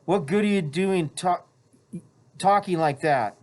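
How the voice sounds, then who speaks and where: A reader voicing a frightened boy who speaks shrilly and with rough grammar, insisting that nothing happened. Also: mid-range voice, unhurried, pitched above the performer's own voice, lightly acted. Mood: shrill, defensive.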